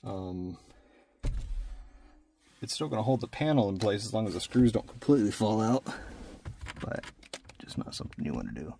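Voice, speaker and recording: Low, mellow man giving a hands-on tutorial. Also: sing-song, moderate pace, gravelly, pauses often, slight background noise. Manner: plain-spoken, talking through each step while working. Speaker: vlogger doing a DIY truck install.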